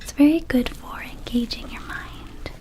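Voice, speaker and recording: A woman voicing a small, laid-back, slightly shady vampire dispensary keeper in an ASMR roleplay, whispering softly in a rambling, casual sales patter. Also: high voice, unhurried. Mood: dreamy, casual. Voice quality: soft, breathy whisper.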